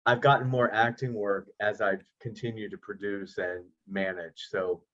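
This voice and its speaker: A man's voice: on the low side, steady tone, moderate pace, fairly bright tone. Easygoing middle-aged man, slightly lo-fi. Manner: easygoing, chatty, self-deprecating jokes. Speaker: actor and producer. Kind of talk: interview guest over video call. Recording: slightly dull lo-fi audio.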